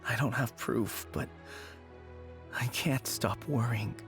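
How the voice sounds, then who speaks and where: A man's voice: medium pitch, takes it slowly. A man voicing an anxious young man haunted by the loss of a childhood friend, who speaks hesitantly, heard as game audio over background music. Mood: worried. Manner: hesitant, worried and soft-spoken.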